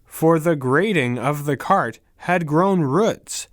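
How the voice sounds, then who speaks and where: A man narrating a fairy tale for language learners in a deliberate, carefully enunciated way, with pauses between short phrases. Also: on the high side, animated, no echo. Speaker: story narrator.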